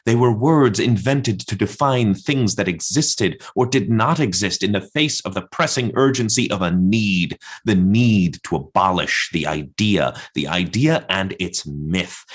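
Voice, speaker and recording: A defiant poet-madman rails against God in a deep voice, as performed by a man. The delivery is theatrical radio drama, declamatory and weighted, each phrase placed with emphasis. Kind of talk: theatrical radio play recitation.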